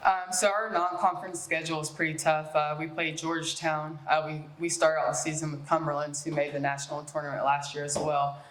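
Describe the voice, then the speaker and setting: A woman's voice: sits low, fairly quick, few pauses, slight background noise. Woman coach talking in an echoey room. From West Virginia, US. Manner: relaxed, many fillers and restarts. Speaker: head basketball coach. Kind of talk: media day interview answers.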